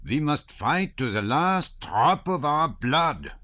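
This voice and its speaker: A man puts on a blustering old hussar colonel for this storybook reading. He speaks higher than the narrator, swinging his pitch widely and stressing words with patriotic gravity. The recording is slightly dull. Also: very animated, moderate pace, pushed and bright, dry recording. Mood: emphatic. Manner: emphatic, declaiming, dwelling on words.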